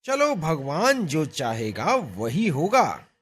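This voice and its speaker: A man acting as a mild Indian husband in a kids' cartoon story. His voice is husky and fairly high for a man. He talks slowly, with very expressive swings in pitch. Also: heavily acted. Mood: resigned. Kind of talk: animated moral story dialogue in Hindi.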